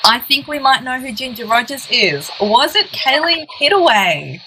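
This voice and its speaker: A woman's voice: high voice, expressive, moderate pace, bright, forceful tone, a little hiss or hum, poor audio. An Australian woman hosting a casual online chat with an upbeat questioning manner. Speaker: host.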